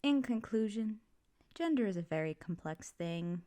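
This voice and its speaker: A woman playing a supportive, doting mother in an ASMR roleplay, talking in a gentle, reassuring, slightly rambling way. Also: medium pitch, lively intonation, normal speed, close to an everyday voice. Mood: thoughtful, rambling. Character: supportive, doting, chatty mother comforting her child. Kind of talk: ASMR roleplay, intimate one-sided conversation.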